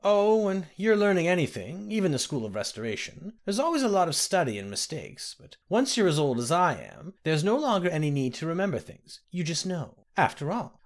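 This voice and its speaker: A man's voice: on the high side, pitched above the performer's own voice. A man reading the part of a kindly, forgetful old woman healer who talks in a chatty, doting way. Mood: cheerful. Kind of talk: audiobook character reading.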